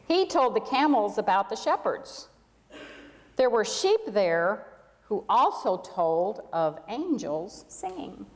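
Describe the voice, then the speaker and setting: A woman's voice: mid-range voice, big pitch swings, takes it slowly. A woman reading a children's storybook aloud to a congregation in a church. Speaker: reader at a church. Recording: echoey hall with long reverb.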